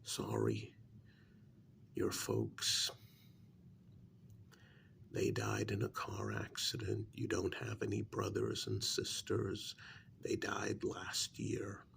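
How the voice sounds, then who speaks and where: A man playing a reasonable, hesitant confidant to vampire nobility in a soft-spoken ASMR role-play, his soft voice often dropping into whispers. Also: on the low side, vocal fry, slight background noise, heavily acted. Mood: pensive, reassuring. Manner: hesitant, measured, gently reasoning.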